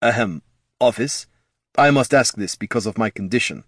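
Hulking werebear of a man, slow heavy growl, thick Russian-like accent, formal and menacing, audiobook fantasy. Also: lowish voice, lively intonation, breathy edge, dry recording, clean studio sound. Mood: slow, looming. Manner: slow, heavy, broken grammar.

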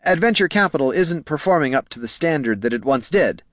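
A man voicing a timid, deferential fantasy clerk with a squeaky, anxiously formal manner in an audiobook. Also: expressive, fairly quick, fairly bright tone, no room sound, studio quality. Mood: anxious, dutiful. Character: nervous, deferential male Scribkin financial clerk.

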